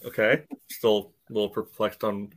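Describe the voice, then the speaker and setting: A man co-hosting a sports podcast, giving casual analysis in halting phrases padded with verbal tics. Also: on the low side, little pitch movement, a crowd in the background. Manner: hesitant phrasing, peppered with "you know". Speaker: podcast co-host.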